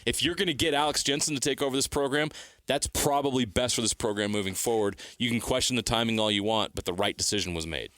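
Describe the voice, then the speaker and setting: A man's voice: mid-range voice, fast, a bit bright, no echo. A man co-hosting sports talk radio, insistent and blunt, driving opinions home in short repeated phrases on a close studio mic. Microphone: close broadcast studio mic.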